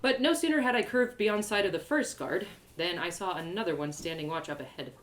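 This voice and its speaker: Animated woman reading a fantasy story. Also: fairly low pitch, a little fast. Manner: theatrical, animated delivery. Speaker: author reading her story aloud. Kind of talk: expressive first-person fantasy story narration.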